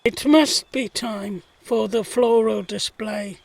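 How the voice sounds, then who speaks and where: A man acts a gentle, proud 1919 gardener in a radio play. He speaks very slowly. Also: high voice, steady tone, creaky, no echo, heavily acted. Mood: dismayed. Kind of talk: audio drama acting.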